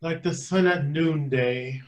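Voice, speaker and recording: A man's voice: fairly high pitch, slow pace. A man playing an officious, sly royal advisor in a children's comedy play, with a formal, obsequious manner. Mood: dryly obsequious. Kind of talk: staged reading of children's theater comedy.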